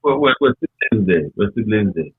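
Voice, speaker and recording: Over a thin, phone-like connection, a Ghanaian man shares memories in casual conversation. His voice is rather low and rough-edged. He speaks emphatically, circling back to repeat his phrases. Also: lively intonation, a little fast, no room sound. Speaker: interview guest, former radio worker. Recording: tinny, narrow phone-like line.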